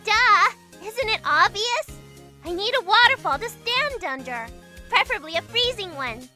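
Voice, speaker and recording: A woman's voice: extremely high pitch, very animated, unhurried, loud music behind the voice, heavily acted. A woman playing a perky, naive teenage girl assistant in an audio drama, bubbly and exclamatory. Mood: bubbly, eager. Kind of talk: fan audio drama acting.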